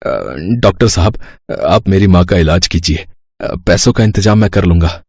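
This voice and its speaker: A man's voice: deep voice, moderate pace, vocal fry, darkish tone, husky, dry recording, clean studio sound, exaggerated voice. A man voicing a poor, earnest young village son in a moral story cartoon, pleading yet determined. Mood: worried, pleading.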